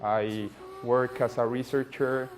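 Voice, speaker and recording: A man's voice: mid-range voice, steady tone, slow pace, over music. A man in his twenties from Colombia, a political scientist and activist, answering interview questions thoughtfully, often restarting his sentences. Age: 20s.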